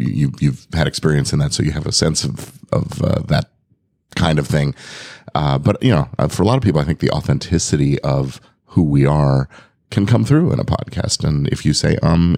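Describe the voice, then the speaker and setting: A podcast host, a man with an extremely deep, booming and robust voice, talks in a relaxed, conversational way. His voice sounds dry and close to the mic, with no room echo. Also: gravelly. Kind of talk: casual conversational interview.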